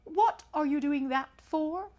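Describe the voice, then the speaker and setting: A woman voicing a pompous know-it-all male python in a storybook, speaking in long-winded, drawn-out pronouncements. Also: sits high, darkish tone, dull audio, pitched above the performer's own voice. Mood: brisk, chiding. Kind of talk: storybook read-aloud character.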